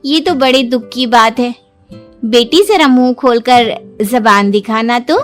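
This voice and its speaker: A woman acts out a prying, suspicious cartoon village wife. She has a very high voice and a dramatic delivery. Music is heard underneath. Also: measured pace, close to an everyday voice. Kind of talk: Hindi cartoon story acting.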